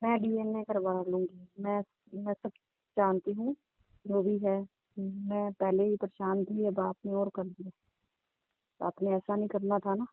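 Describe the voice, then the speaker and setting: A woman's voice: mid-range voice, little pitch movement, a little slow, no room sound, natural voice. Woman playing an aggrieved young woman, tinny phone line. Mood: insistent. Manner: complaining, insistent, repetitive. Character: aggrieved, insistent young divorced woman confronting older lover.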